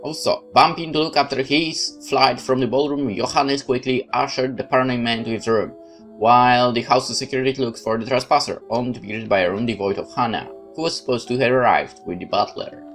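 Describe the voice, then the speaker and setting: A man narrates a horror visual novel aloud for viewers, at a middle pitch. He reads the text dramatically. He breaks off now and then for casual side remarks. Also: fairly even intonation, brisk, fairly bright tone, soft background music. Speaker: let's play host.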